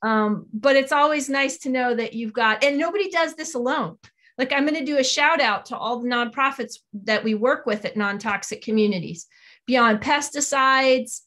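Earnest woman with a higher-pitched voice. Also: normal speed, sharp tone. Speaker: environmental advocate, interview guest. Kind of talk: earnest explanatory interview talk. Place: small home office, indoors. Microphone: video call on a computer.

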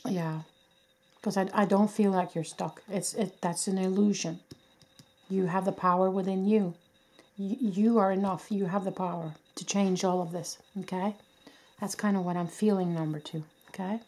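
A woman with a voice worn from a cold, giving a gentle, reassuring pick-a-card tarot reading with many fillers and restarts. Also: mid-range voice, fairly even intonation, moderate pace. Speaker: tarot reader. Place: indoors at a candlelit table. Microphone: camera above a table, hands only.

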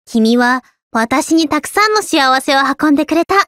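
A woman voicing an easygoing, affectionate teenage schoolgirl in an anime game, with a caring, gently teasing manner. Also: high voice, expressive, moderate pace, dry recording, studio quality. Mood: caring, encouraging. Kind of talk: scripted anime game voice lines.

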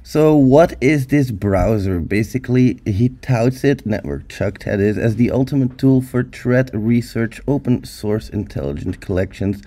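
A man with a hoarse voice from being sick, giving an upbeat, chatty tech review over a screen recording. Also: fairly low pitch, dark tone. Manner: upbeat, chatty, addresses viewers as hackers. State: hoarse from illness. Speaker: YouTube security reviewer.

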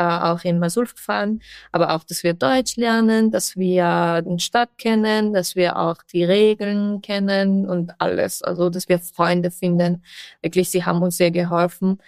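A young woman from Afghanistan speaking German with an Afghan accent as a podcast guest, often pausing with fillers and laughing. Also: fairly low pitch, a little mellow, smooth, no room sound, clean studio sound. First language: not German. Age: young adult. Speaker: guest, human rights activist. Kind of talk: podcast interview.

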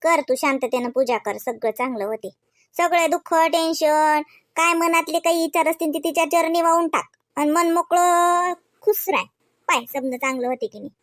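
A woman's voice: extremely high pitch, moderate pace, dry recording, clean studio sound. A woman voicing a devout, caring, bossy village aunt in a comedy cartoon, with a homely Varhadi Marathi accent. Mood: reassuring. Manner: chatty, homely, instructing.

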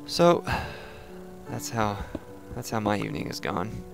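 A young man with a raspy voice, casually chatting and thinking aloud as he hosts a gameplay video. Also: lowish voice, a little slow, music in the background. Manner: relaxed, chatty, thinking aloud while playing. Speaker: let's play host.

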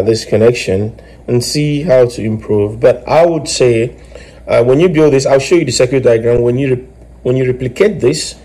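A man explaining step by step in a halting way, as host of a hands-on electronics tutorial. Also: medium pitch, mellow. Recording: clear background noise with clicks.

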